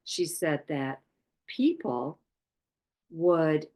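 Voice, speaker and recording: Slow, low-voiced woman teaching a workshop. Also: sing-song, a little mellow. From Poland. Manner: slow, halting, with many pauses and fillers. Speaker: chakra workshop presenter. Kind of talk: unscripted spiritual talk.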